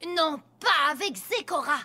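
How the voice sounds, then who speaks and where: High, forceful big-sister pony. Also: female voice, expressive, measured pace, faint music behind, heavily acted. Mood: scolding, protective. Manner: firm, scolding, forceful. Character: bossy, protective big-sister farm pony, stubborn and suspicious. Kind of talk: animated cartoon dialogue dub.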